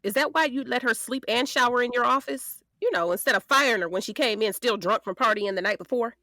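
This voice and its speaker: A woman playing a sharp, protective, sassy defense lawyer who questions frankly and colloquially in an audio drama. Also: fairly high pitch, a little fast, dry recording, clean studio sound. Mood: pressing, restrained.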